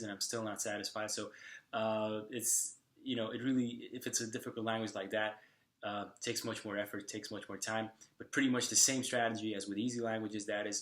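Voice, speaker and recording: Young male vlogger, Slovak native, slightly low voice, hesitant and filler-heavy delivery. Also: fairly bright tone. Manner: halting, with many fillers and restarts. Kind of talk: casual talk to camera.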